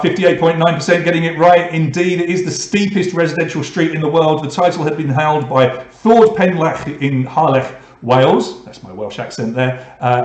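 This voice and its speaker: A man's voice: medium pitch, reverberant. A man from England hosting an online pub quiz, reading out questions and scores with joking asides to the players. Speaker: quiz host.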